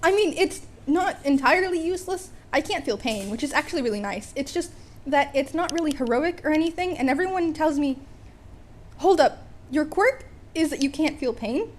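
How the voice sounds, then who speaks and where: Woman voicing a squeaky, nervous teenage fanboy. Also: expressive, a little hiss or hum. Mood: nervous, pleading. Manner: breathless, hesitant, rushed.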